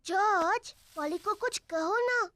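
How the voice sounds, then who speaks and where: A performer voicing a cheerful, bossy, excitable little girl pig in a children's cartoon dub, eager and exclaiming. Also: very high voice, takes it slowly, over music. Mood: bossy, curious.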